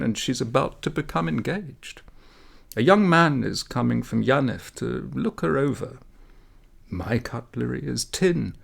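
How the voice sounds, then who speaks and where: A man's voice: mid-range voice, gravelly, full character voice. A man voicing a wily, impoverished village father in a storybook tale, earnest and persuasive with a sly solemnity. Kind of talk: storybook character voice.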